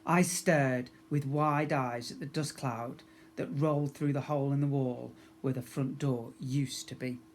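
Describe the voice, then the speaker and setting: A man reads a storybook aloud in the manner of a primary school teacher. His narration is expressive and aimed at young children. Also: mid-range voice, measured pace, a little hiss or hum.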